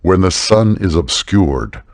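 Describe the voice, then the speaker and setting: Very deep, formal dark scholar. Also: male voice, animated, no echo, clean studio sound. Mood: grave, ceremonious. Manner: measured, formal, deliberate. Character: grave, formal male scholar serving a dark lord. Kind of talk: video game voice acting.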